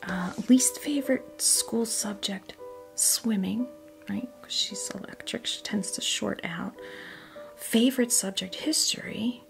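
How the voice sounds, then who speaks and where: An American woman speaking in a soft, breathy near-whisper, chatting casually and hesitantly in an ASMR style close to a sensitive microphone. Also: mid-range voice, takes it slowly, faint music behind. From United States. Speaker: ASMR video host. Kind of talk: ASMR, casual unboxing chat.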